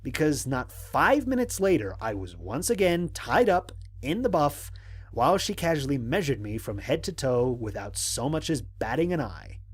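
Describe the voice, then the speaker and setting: A man playing a wry, analytical stranded astronaut, narrating mission logs in an audio drama in a measured, articulate way. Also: mid-range voice, slightly rough. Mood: wry, exasperated. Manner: measured, articulate, dryly humorous. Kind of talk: dramatic scripted log narration.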